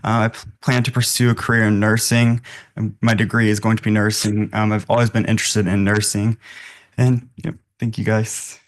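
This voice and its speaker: A young man in his late teens from North Carolina speaks briefly as a scholarship student. His voice is low and flat, and he stops on fillers between short sentences. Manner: halting, with many 'um's.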